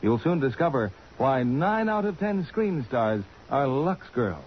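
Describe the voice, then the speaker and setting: A man's voice: medium pitch, sing-song, a little slow, a little hiss or hum. A man working as a radio announcer, reading scripted copy in a 1950s commercial style. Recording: dull vintage radio recording. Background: music.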